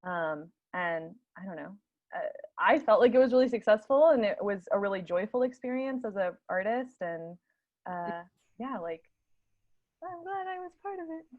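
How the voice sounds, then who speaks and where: A woman's voice: mid-range voice, animated, normal speed, phone-quality sound. A woman theatre artist chatting casually and informally, feeling her way through her phrases. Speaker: theatre artist, panel participant. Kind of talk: casual chat.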